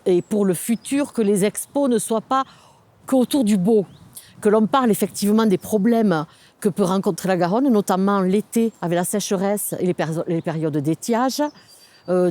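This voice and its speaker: Mellow-voiced woman explaining a local project. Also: medium pitch. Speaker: local government sustainable development officer.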